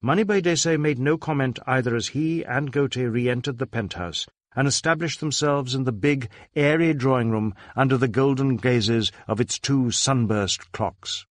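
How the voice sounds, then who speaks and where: A man's voice: mid-range voice, moderate pace, few pauses, dry recording, clean studio sound. A man reading a literary crime story as a scripted narration. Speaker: audiobook narrator.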